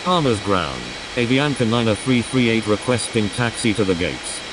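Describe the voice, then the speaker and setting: Woman acting as extremely deep-voiced pilot, hissy. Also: animated, moderate pace. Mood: businesslike. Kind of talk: scripted air traffic radio calls. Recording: hissy recording over droning engine noise.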